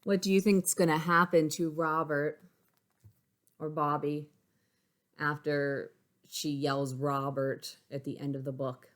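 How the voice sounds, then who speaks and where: A woman's voice: deep voice, little pitch movement, measured pace, long pauses. A woman schoolteacher reading a picture book aloud to young pupils, using simple phrasing and stopping to ask them questions. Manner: simple child-directed phrasing, checking questions.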